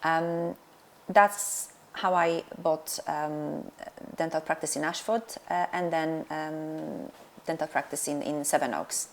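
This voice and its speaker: This is a middle-aged woman with a Polish accent and a low voice that has a gravelly edge. She talks about her work as a dentist in an interview, pausing often with fillers and restarting her sentences. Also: fairly even intonation. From Poland. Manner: hesitant, many fillers and restarts. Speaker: dentist and practice owner. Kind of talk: interview answers.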